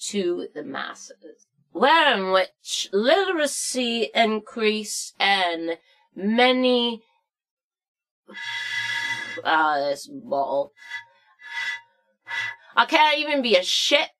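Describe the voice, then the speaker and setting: Crotchety old female storyteller, played by a woman, tipsy and theatrical, slow rambling delivery for comic story time. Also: mid-range voice, a bit bright, rough recording. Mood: tipsy flustered. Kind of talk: comic storybook narration and history lesson.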